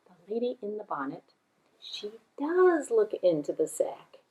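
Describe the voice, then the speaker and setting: Slow, animated woman telling children a story. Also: medium pitch, low-quality recording. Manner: repetitive, sing-song emphasis with sound effects. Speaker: children's library storyteller and puppeteer.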